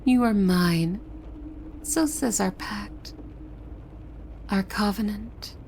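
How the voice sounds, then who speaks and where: Slow, possessive demon maid acted by a woman. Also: mid-range voice, a little mellow, slight background noise. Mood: loving, possessive. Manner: slow, formal, servile yet menacing, often dropping to a whisper. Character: possessive, doting yandere demon maid woman devoted to her master. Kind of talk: ASMR roleplay acting.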